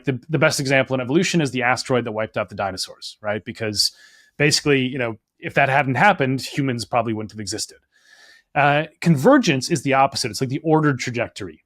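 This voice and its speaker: Guest author, young man from Minnesota, quick and chatty, dry close sound. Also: mid-range voice, no room sound. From Minnesota, United States. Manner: fast, animated, full of "like" and "right?". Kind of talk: conversational interview answers.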